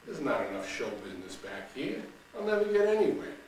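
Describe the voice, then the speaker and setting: A man's voice: on the high side, very animated, a little fast, a little mellow, a little hiss or hum, voice pushed up. A man doing a cocky young showman. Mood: earnest. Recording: roomy room, distant mic, faint ambience.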